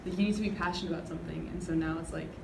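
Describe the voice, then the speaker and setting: Fast-talking young woman, low even voice. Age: young adult. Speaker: university student. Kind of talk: casual personal reminiscence. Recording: echoey room with clear background noise.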